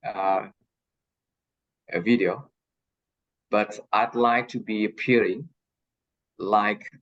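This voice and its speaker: A man who is not a native English speaker talks slowly through a Q&A on a video call, halting with many fillers and restarts. His voice comes through a somewhat dull, muffled line. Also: lowish voice. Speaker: guest lecturer. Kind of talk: online lecture Q&A.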